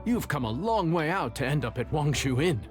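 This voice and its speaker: Friendly nervous inn cook, game character, voice jumps around, loud music under it. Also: male voice, a little high, sing-song. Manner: deferential, halting. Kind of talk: video game voice acting.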